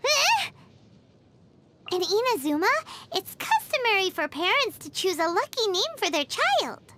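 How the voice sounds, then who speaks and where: Squeaky, excitable little fairy girl. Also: unhurried, exaggerated voice. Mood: chatty, curious. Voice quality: squeaky, childlike. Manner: refers to self in third person, exclamatory. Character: tiny excitable girlish fairy companion, pouty and nosy. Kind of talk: animated game voice acting. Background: background music.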